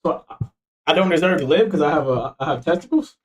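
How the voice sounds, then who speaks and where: A man's voice: a little high, fast talker, muffled recording. A man in his twenties chatting casually on stream, joking around in a slangy way and laughing often. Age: 20s. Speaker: streamer reacting to videos.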